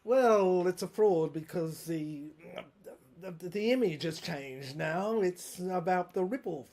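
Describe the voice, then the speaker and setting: A woman's voice: on the low side, slow. A woman playing a ranting, scheming, power-mad brother and corrupt prosecutor in an audio drama, with a theatrical, manic, rambling delivery. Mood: self-justifying. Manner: theatrical, manic rambling, swinging from shouting to muttering.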